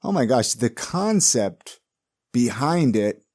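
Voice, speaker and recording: A man's voice: mid-range voice, big pitch swings, takes it slowly, darkish tone. A man hosting a podcast, asking casual, rambling questions with enthusiasm. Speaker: podcast host. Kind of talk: casual interviewing.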